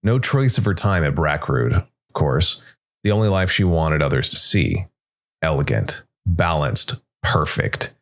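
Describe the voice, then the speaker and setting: A man reads scripted dark fantasy narration in a measured way, with dramatic pauses between short phrases. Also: a bass voice, animated. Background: background music.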